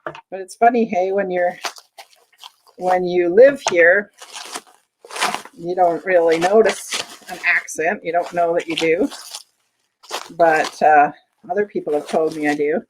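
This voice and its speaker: A woman's voice: on the low side, unhurried, pauses often, background noise. A woman with a Canadian accent, chatting casually to her viewers while unboxing, rambling and correcting herself as she goes. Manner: chatty, rambling, self-interrupting with fillers. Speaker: vlogger unboxing auction finds. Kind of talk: casual chat to camera. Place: sunny room indoors.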